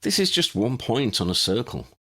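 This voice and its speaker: A man voicing a calm, fearless adult male wizard investigator in an audiobook, casual and reassuring. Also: mid-range voice, moderate pace, pitched above the performer's own voice.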